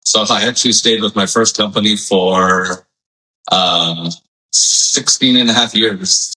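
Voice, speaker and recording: A man in his forties, from Hawaii, answers interview questions in a friendly, unhurried way, pausing on fillers. His voice sits very low yet sounds bright and forceful. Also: fairly even intonation, low-quality recording. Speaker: physical therapist guest. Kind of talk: casual interview.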